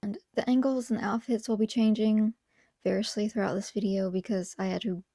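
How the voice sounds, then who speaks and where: A hoarse, tired woman whispering at home at night, chatting casually as host of her own channel. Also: medium pitch, level tone, fairly quick, dry recording, clean studio sound. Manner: whispering softly; apologetic, tired but upbeat. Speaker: video essay host.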